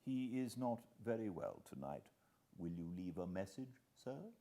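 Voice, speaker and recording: A man's voice: on the low side, big pitch swings, a little slow, gravelly, warm tone, exaggerated voice. A British man voicing a formal, discreet household servant, polite and reserved, in an audiobook. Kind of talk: audiobook character acting.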